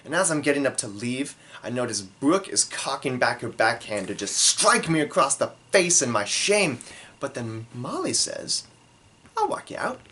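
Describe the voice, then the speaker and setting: A man telling a comedic story from his own life in a theatrical, self-deprecating way, with dramatic pauses. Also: mid-range voice, expressive, bright, forceful tone.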